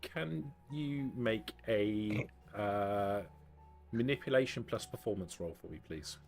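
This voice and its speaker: A man runs a tabletop roleplaying game as game master. His voice is on the low side, and he improvises narration conversationally, with faint music behind him. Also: slow pace, gravelly, darkish tone.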